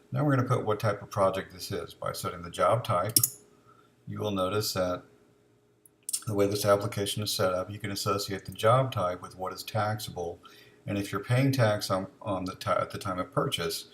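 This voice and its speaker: A man with a low voice talks through a software screencast one step at a time. His delivery is informal and instructional. The recording is clearly noisy, with keyboard typing and mouse clicks behind him. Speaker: software demonstrator. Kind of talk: casual step-by-step screencast walkthrough. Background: noise with keyboard typing and mouse clicks.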